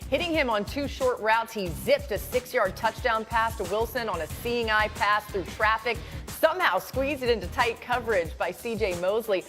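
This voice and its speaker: Lively woman hosting a sports show. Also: on the high side, moderate pace, faint music behind. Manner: lively, playful. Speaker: host. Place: TV studio.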